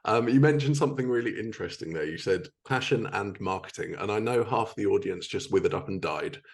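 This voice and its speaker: Low-voiced man hosting a casual podcast. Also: a little fast, vocal fry. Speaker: podcast host interviewing a writer. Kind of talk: casual interview chat.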